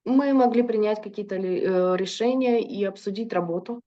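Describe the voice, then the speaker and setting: A woman's voice: mid-range voice, normal speed, dull audio. A woman interpreting a lecture consecutively, fluent but with frequent filler hesitations.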